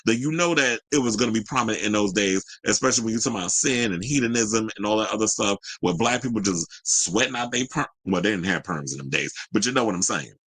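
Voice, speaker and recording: A man with a fairly low yet bright, punchy voice hosts an entertainment news show, recorded close and dry. He moves between reading the news and casual, opinionated commentary in slangy, conversational phrasing. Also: lively intonation, fast talker, hardly any pauses, husky, dry recording, poor audio. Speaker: talk show host.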